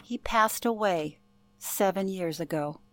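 An elderly woman played by an actress reminisces in a radio play. She is gentle, courteous and nostalgic, with a mid-pitched voice. The sound is close and dry. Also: slow, no room sound, natural voice. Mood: wistful. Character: gracious elderly woman reminiscing about a night in 1938.

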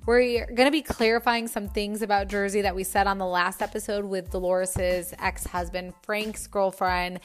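A woman's voice: mid-range voice, fairly even intonation, normal speed, hardly any pauses. A woman in her thirties hosting a podcast, chatting casually with many fillers and restarts. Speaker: podcast host. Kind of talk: casual chat.

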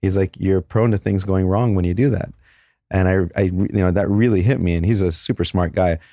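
A man's voice: sits low, fairly even intonation, fairly quick, mellow, no room sound, studio quality. A man, a plastic surgeon, speaking candidly in a casual interview, blunt with crude jokes.

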